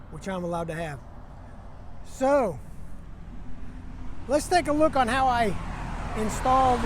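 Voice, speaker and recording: A man talks like a trucker vlogger. His delivery is folksy, plain and conversational, as if telling a story to camera. There is clear vehicle and outdoor noise behind him. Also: high voice. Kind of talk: casual first-person storytelling to camera. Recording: noisy, vehicle and outdoor ambience.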